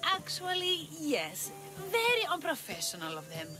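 A woman's voice: sits high, wildly swooping pitch, unhurried, music in the background, heavily acted. An actress voicing a sour female trial master who gives dry, weary warnings.

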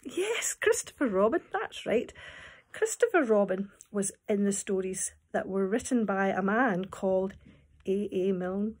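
A Scottish woman in her fifties talking and reading to young children at storytime, expressive, in simple phrases with questions to the listeners. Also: mid-range voice, measured pace. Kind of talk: storytime talk and poem reading for small children. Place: small room at home, indoors. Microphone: phone or tablet at arm's length.

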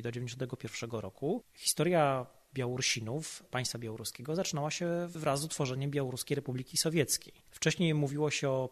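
A man speaking as an analyst, explaining in an interview. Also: medium pitch. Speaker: policy analyst and report author.

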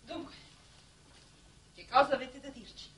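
An actress playing a matter-of-fact young nurse who is envoy of a colony, in 1960s Italian television drama style. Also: female voice, sits high, unhurried, fairly bright tone. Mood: polite, brisk. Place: television studio stage. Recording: noisy old 1960s television soundtrack.

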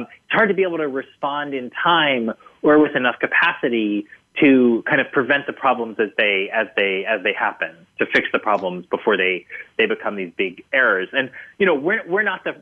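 A man being interviewed as a book's author, answering thoughtfully with many fillers and restarts. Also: medium pitch. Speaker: guest author. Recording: tinny phone-line audio.

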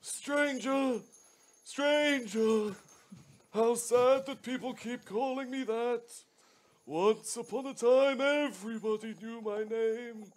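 A washed-up old Santa played by a man in a radio play, very high in pitch for a man and slow. He is mournful and full of self-pity, dragging out his sorrows. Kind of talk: live radio play read-through.

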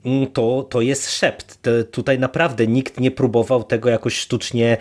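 A man's voice: mid-range voice, level tone, a little slow. A man discussing things casually as a podcast co-host.

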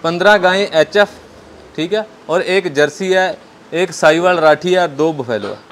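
Male farmer-dealer. High voice, agreeable, casual answers over some farm noise. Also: moderate pace, a bit bright. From northern India. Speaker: dairy farmer and cattle dealer, interviewee. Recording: clear background noise, farm ambience.

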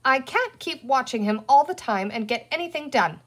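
A woman voices a busy storybook mother with a fairly high voice. She is fed up but in charge, brisk and insistent as she hands out firm instructions. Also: big pitch swings. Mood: exasperated.

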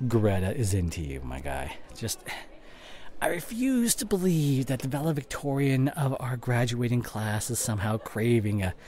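Man acting as slow-talking, animated young gamer boyfriend. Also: medium pitch, creaky, exaggerated voice. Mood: excited, joking. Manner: casual, slangy, coaxing, drawn-out phrasing. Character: forgetful, dismissive young gamer boyfriend, breezy with his buddies. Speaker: voice actor. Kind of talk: boyfriend audio roleplay, naturalistic acting.

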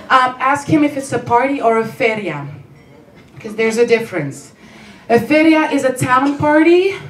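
A young woman with a Belgian accent tells stand-up stories in a playful, performative way, acting out dialogue. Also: sits high. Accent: non-native English, Belgian. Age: young adult. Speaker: stand-up comedian. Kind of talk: stand-up comedy storytelling. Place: small comedy club stage, indoors. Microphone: handheld stage mic, recorded by distant camera. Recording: roomy, distant, noisy club recording.

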